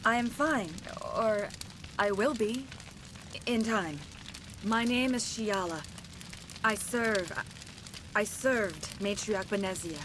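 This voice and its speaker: A woman playing a solemn alien commando in a video game, speaking in a formal, measured and grave way. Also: medium pitch, lightly acted. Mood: grave, subdued. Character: solemn female alien commando, former thrall of an ancient plant creature. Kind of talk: scripted video game acting. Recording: clear background noise.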